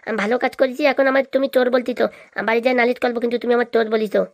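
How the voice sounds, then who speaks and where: A woman's voice: a little high, steady tone, rapid-fire, no echo, clean studio sound, close to an everyday voice. A woman voicing a mischievous, scheming boy in a cartoon, with a sly, blustering, slangy manner. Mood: indignant. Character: scheming, mischievous boy, petty thief and braggart. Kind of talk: cartoon voice acting.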